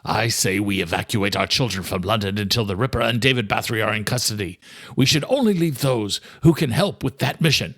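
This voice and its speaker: A man voicing a forceful vampire lord, urgent and formal, in an audiobook. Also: lowish voice, lively intonation, brisk. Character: forceful male vampire lord demanding action.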